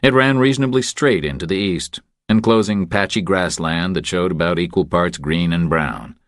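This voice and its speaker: A man narrates fiction from a script. His voice sits low, and the recording is dry, with no room sound around it. He reads in the third person as an audiobook narrator. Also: moderate pace, fairly bright tone, no room sound.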